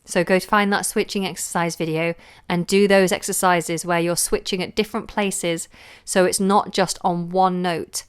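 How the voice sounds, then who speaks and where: A woman vocal coach giving chatty live feedback, with a low voice and a lingering cold. She talks loosely, with fillers and lost words. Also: fairly even intonation, moderate pace, smooth. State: recovering from a cold. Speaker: singing teacher.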